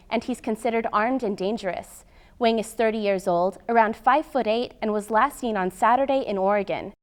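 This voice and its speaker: A young woman delivering scripted TV news in a presentational broadcast manner, as a reporter and anchor. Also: mid-range voice.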